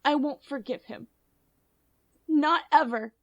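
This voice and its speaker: A woman playing a grave, wounded aunt and betrayed wife in hushed old-time radio melodrama style. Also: high-pitched, slow, lo-fi sound. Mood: grave, hurt.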